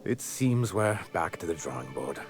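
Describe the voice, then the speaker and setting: An actor playing a pompous, melodramatic corporate executive with florid, grandiose speech in a video game. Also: male voice, sits low, big pitch swings, normal speed, soft background music. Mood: wistful, self-pitying. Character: pompous, theatrical corporate executive man, easily wounded and melodramatic. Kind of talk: video game character acting.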